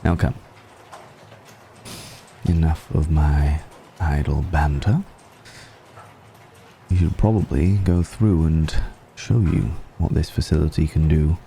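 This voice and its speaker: A man playing a suave, ancient aristocratic vampire in an intimate ASMR roleplay, musing slowly and deliberately with long pauses. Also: warm tone, slight background noise, full character voice. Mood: courteous, secretive. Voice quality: very deep, gravelly.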